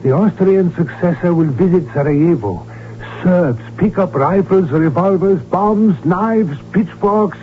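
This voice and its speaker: A man's voice: high-pitched, unhurried, slight background noise. An actor playing an outspoken, agitated royal physician who tells his story with urgency and emphasis in a 1970s radio drama. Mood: urgent, pleading. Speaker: doctor character who also narrates. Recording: tinny narrowband old radio recording, music behind.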